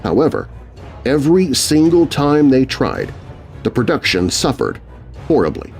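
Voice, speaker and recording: A male narrator reads a scripted horror story for young listeners. His delivery is theatrical and full of suspense, with a mid-range voice that rises and falls widely over quiet background music. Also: medium pitch, sing-song, unhurried, creaky. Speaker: narrator and host.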